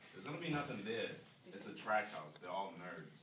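Young man acting low-voiced, blunt party guy. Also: very animated, normal speed. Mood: mocking. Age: young adult. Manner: slangy, blunt. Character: party-hungry, mocking male college guy. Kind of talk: amateur radio drama read-through. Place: classroom, indoors. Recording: echoey, noisy room, distant mic.

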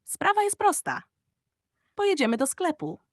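Woman as little male mouse, bold, eager, conspiratorial, lifted pitch, dry storybook recording. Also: huge pitch swings, normal speed, no echo, full character voice. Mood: confident.

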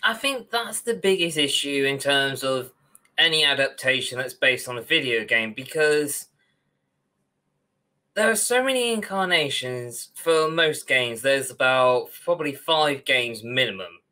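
A young man with a bright, sharp-edged voice co-hosts a podcast. He sits at mid pitch and gives his views in loose, casual conversation. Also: expressive, a little slow. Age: young adult. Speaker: podcast co-host. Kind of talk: casual opinionated discussion. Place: small room at home, on a video call.

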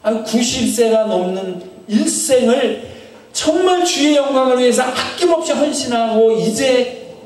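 A middle-aged man with a high voice preaches a storytelling sermon into a handheld microphone. His phrases drift off softly at the ends, broken up by long pauses. The small chapel echoes heavily around him, and he sounds set back from the mic. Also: expressive. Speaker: pastor. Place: small chapel in front of an altar. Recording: very echoey room, from a distance.

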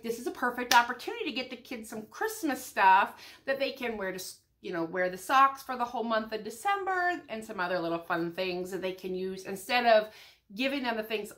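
A woman's voice: medium pitch, expressive, a little fast, sharp tone. A middle-aged American woman, slightly congested from a cold, chatting casually and ramblingly through a shopping haul in a small room at home. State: has a head cold. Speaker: vlogger.

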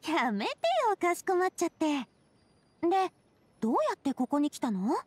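A woman's voice: high voice, animated, moderate pace, darkish tone, no echo. A woman playing a confident, cheerful young leader in an anime game, breezy and self-assured. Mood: bright, breezy. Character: Navia, confident cheerful young woman, boss made fairytale king. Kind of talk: Japanese anime game voice acting.

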